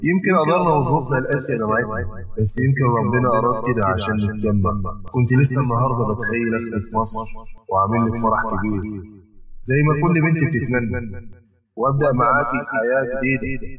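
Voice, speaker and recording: A man's voice: mid-range voice, racing, a bit bright, recorded from a distance, soft background music, close to an everyday voice, low-quality recording. A man with an Egyptian accent, unwell, voicing a devoted young husband who pleads urgently with his wife in an audio drama. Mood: tender pleading.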